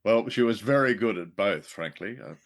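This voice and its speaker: A man co-hosting a radio drama review show, chatting casually in a thoughtful, halting way with frequent pauses. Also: fairly low pitch, animated. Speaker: co-host of an old-time radio review show.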